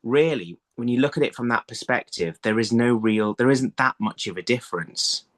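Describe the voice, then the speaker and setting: A man in his thirties giving conversational interview answers over a video call, haltingly, thinking aloud and repeating words. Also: medium pitch. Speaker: writer being interviewed. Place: indoor room, video call. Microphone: phone on a video call.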